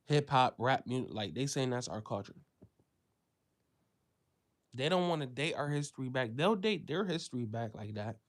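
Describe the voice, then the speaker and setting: A man delivering a profane, ranting monologue, dropping to a low mutter and then jumping to loud, high exclamations. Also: animated, normal speed, pauses often. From United States. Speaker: commentator.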